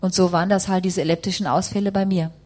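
A woman's voice: fairly low pitch, fairly even intonation, racing, a little mellow. A woman working as a live interpreter, rendering a testimony phrase by phrase and rewording as she goes.

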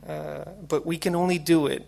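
A man preaching his testimony through an interpreter, halting and repeating words. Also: fairly high pitch, level tone, creaky, a little mellow. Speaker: speaker giving testimony.

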